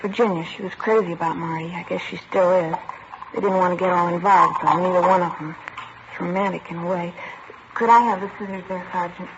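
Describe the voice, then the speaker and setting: A woman's voice: on the low side, fairly even intonation, a little fast, natural voice. An American actress playing a young, evasive working woman being questioned by police in a 1950s radio drama. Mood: reluctant confiding. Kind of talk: 1950s radio drama acting, naturalistic dialogue. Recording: noisy, muffled vintage radio recording.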